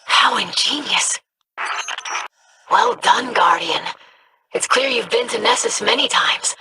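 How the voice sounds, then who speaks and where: A woman's voice: on the high side, slow, pushed and bright, breathy edge, heavily acted. An actress playing an earnest, polite young female alien scholar. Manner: polite, formal.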